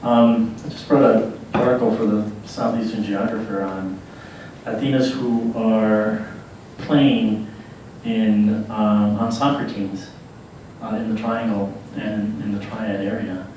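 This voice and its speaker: A man with a lowish voice talks on a university panel, sounding far off. The hall is very echoey, with faint noise behind. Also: little pitch movement, slow pace, lo-fi sound. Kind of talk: panel discussion.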